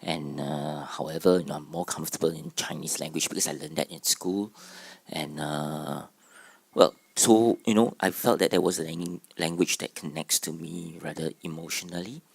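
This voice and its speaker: A man's voice: very deep voice, steady tone, normal speed, gravelly, breathy edge, dry recording. A man with a Singaporean accent, Cantonese his first language, giving a hesitant conference panel talk as a theatre artistic director. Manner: hesitant, with many fillers. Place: conference room.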